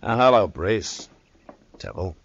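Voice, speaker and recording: An actor playing a steady, laconic American marshal in a radio western, speaking in a terse, dry, firm way. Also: male voice, low voice, slow, vocal fry, slightly rough, full character voice. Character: laconic, steady male US marshal of the Old West. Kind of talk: 1950s radio western acting. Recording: dull vintage radio recording.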